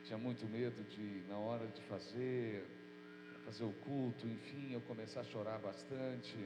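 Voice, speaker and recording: A man's voice: medium pitch, steady tone, moderate pace. A man preaching as pastor, his voice nasal and stuffy from a cold, speaking earnestly and informally. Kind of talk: prayer and sermon. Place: church hall. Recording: echoey church hall with mains hum.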